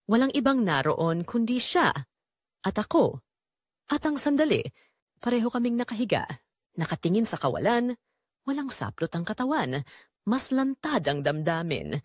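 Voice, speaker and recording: A woman narrating as a brooding, guilt-torn man, in slow, deliberate, confessional dramatic storytelling. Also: medium pitch, no room sound, studio quality. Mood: brooding, intimate. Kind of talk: scripted first-person dramatic narration.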